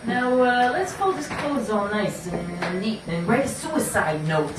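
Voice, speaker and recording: A woman plays a sheepish gang flunky in a puppet show. The figure is hesitant and eager to obey. The recording is very echoey and far from the mic, with clear noise. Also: medium pitch, expressive, measured pace, lightly acted. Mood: uneasy. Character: sheepish gangster henchman puppet, nervous and obedient. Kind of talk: amateur puppet show acting.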